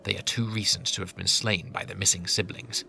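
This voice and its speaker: Low-voiced male fantasy narrator. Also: fairly even intonation, fairly quick, gravelly, bright, forceful tone, a little hiss or hum. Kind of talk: scripted fantasy audio drama narration.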